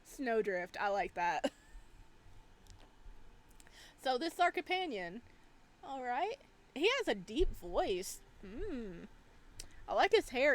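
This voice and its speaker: A woman hosting a gaming video, giving casual, playful and expressive let's play commentary as she reacts aloud. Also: high-pitched.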